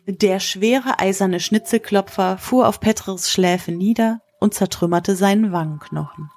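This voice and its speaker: A woman's voice: mid-range voice, measured pace, darkish tone. A woman narrator delivering scripted prose for an audio drama.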